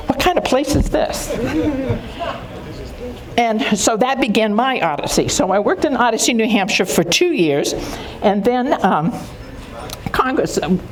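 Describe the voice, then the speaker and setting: An older woman giving a conference talk, recalling her early career in an unhurried way with pauses. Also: mid-range voice, poor audio. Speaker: conference speaker. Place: conference hall.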